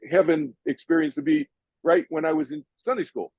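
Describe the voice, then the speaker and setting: Animated older man hosting a video call. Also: fairly high pitch, brisk, creaky, husky, dry recording, dull audio. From Massachusetts, USA. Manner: animated and chatty, many restarts. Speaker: host answering audience questions.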